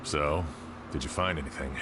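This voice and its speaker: Deep-voiced, serious lawman. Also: fairly even intonation, background noise. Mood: concerned. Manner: earnest, deliberate, polite. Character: serious, courteous male lawman on a case. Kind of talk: video game voice acting.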